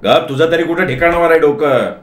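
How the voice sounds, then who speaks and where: A man's voice: on the high side, a little fast, reverberant, close to an everyday voice. A man playing an irritable, blustering boss and husband in a comic story, cranky and imperious.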